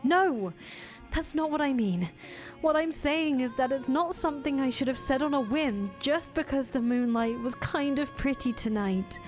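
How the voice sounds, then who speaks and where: A woman's voice: high-pitched, lively intonation, normal speed, faint music behind, voice pushed up. A woman voicing a flustered young woman confessing her love, stammering and earnest.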